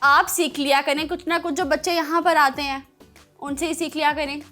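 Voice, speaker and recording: High-voiced woman hosting a children's show. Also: normal speed, soft background music. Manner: expressive, teasing mock-scolding, addresses listeners directly. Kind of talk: playful children's TV presenting.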